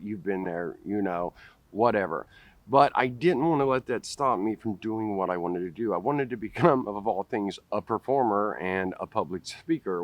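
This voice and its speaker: A man's voice: on the low side, no room sound. A man in his forties with a stutter, talking straight to camera outdoors in a park, repeating first sounds and restarting words. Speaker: vlogger. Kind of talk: direct-to-camera talk. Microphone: camera close up at face level.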